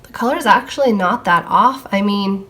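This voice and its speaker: A young woman's casual makeup review, her voice a little froggy and hoarse as she gets over a cold. She talks easily while she tries out products. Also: medium pitch, normal speed, a bit bright. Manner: chatty, talking while applying makeup. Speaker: beauty vlogger.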